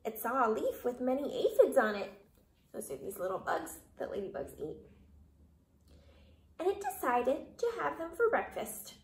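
A young woman reading a children's story aloud expressively, pausing to put questions to her young listeners. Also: high-pitched. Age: young adult. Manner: animated, questioning, addressing children. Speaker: kindergarten read-aloud reader. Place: small living room, indoors. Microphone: camera a short distance away. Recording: roomy home recording.

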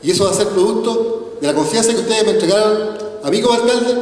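A man's voice: high-pitched, fast talker, darkish tone. A man in his forties, a mayor giving an earnest, rhetorical speech from a podium, often adding tag questions. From Chile. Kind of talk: formal public speech. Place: large hall, on stage at a podium. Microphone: podium microphone, recorded from a far camera. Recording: echoey hall from far off.

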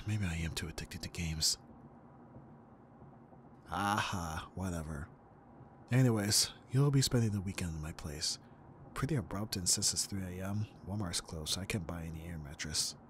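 A man's voice: low voice, normal speed, pauses often, slight background noise, natural voice. A young man acting as an easygoing, teasing best friend in a casual, intimate ASMR roleplay. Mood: playful, teasing.

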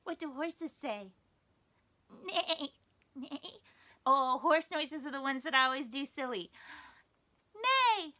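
A young woman as a children's librarian reading a picture book aloud at story time, animated and sing-song, with playful animal noises. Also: high voice, slow, long pauses. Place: small indoor room, wood-panelled.

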